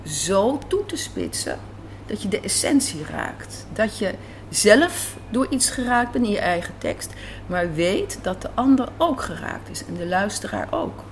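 A woman answering as an interviewed writer and director, reflective and emphatic, repeating phrases for emphasis. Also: mid-range voice. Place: café interview. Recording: some background noise and ambience.

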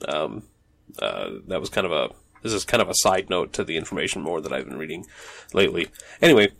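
A man's voice: low voice, very animated, brisk, gravelly, fairly bright tone. A man hosting a solo podcast from his home office, talking in a loose, rambling way with many ums and restarts. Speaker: podcast host. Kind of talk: casual solo podcast monologue.

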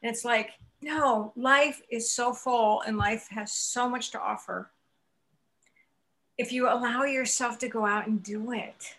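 A woman's voice: a little high, steady tone, a little slow, a bit bright. A woman in her sixties hosting an interview, talking in an enthusiastic, encouraging, motivational way. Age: 60s. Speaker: podcast host. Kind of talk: interview chat.

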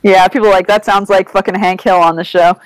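A woman hosting a casual interview, excitable and exclamatory, with a habit of swearing freely. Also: medium pitch, quick pace, no echo, poor audio. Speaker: podcast host.

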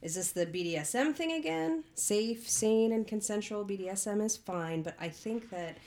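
A woman playing a frank, skeptical doctor in a comedy radio play, speaking in a deliberate, incredulous way. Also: mid-range voice, animated, a little mellow, lightly acted. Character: frank, skeptical female doctor, wearily scolding her patient.

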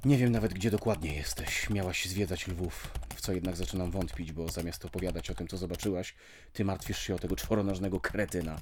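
A man's voice: sits low, fairly even intonation, brisk, slight background noise, close to an everyday voice. A man playing a lonely, irritable husband who grumbles sardonically to himself, in a radio play. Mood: bitter longing. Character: lonely, irritable husband missing his absent wife. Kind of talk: Polish radio play acting, dialogue and monologue. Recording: music under the voice.

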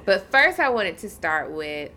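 A woman's voice: mid-range voice, very animated, unhurried, sharp tone. A woman, hosting a casual conversational podcast interview. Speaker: podcast host, licensed counselor.

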